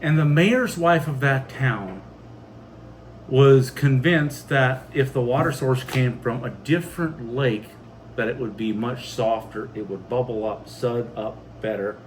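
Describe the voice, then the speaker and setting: Male vlog co-host, average pitch, loose and halting storyteller, slight noise in the recording. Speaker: co-host of a family homestead channel. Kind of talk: casual rambling storytelling and chat. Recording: faint background noise.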